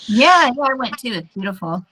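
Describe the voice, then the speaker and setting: A woman's voice: fairly high pitch, sing-song, a little fast, bright, forceful tone, slightly rough, poor audio. An American woman tutoring English in a casual online lesson, friendly and self-correcting as she reads text aloud carefully. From United States.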